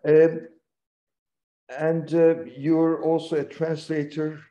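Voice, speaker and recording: A man's voice: fairly high pitch, level tone, dark tone, lo-fi sound. A man interviewing a guest over a video call, asking short questions with hesitant fillers. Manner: halting, short prompts with fillers.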